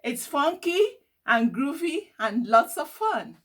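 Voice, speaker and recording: Woman as an upbeat, encouraging big cousin, very animated. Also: high-pitched, slow pace, voice pushed up, exaggerated voice. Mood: enthusiastic. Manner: reassuring, enthusiastic, thoughtful.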